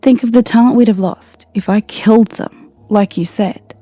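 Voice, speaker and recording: Man putting on a regal princess voice, firm and dignified, mellow tone, dry sound, music behind. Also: sits high, fairly even intonation, moderate pace, dry recording, voice pushed up. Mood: wry. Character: regal, composed immortal princess.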